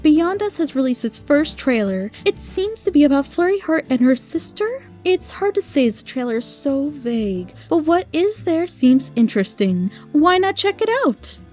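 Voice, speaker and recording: High-pitched, mellow woman reviewer. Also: big pitch swings, normal speed, hardly any pauses, faint music behind. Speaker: host and reviewer of a monthly audio drama show. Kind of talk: scripted review narration.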